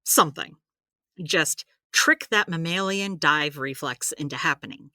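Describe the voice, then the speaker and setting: An actress playing a practical, gently caring supernatural healer who explains things in a chatty, rambling way in an audio drama. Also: female voice, deep voice, unhurried, dry recording, clean studio sound, full character voice. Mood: chatty, instructive.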